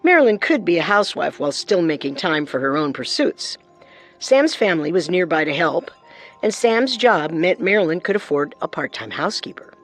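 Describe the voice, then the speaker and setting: A woman's voice: lowish voice, big pitch swings, normal speed, faint music behind. A woman co-hosting a true-crime show with scripted narration. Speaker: co-host, narrator.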